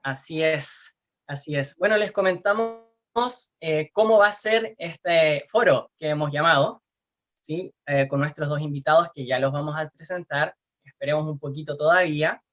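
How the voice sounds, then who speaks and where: A man's voice: fairly high pitch, moderate pace, a bit bright, lo-fi sound. A man with a Chilean Spanish accent co-hosting an online forum, speaking hesitantly with many fillers and tag questions. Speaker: co-host.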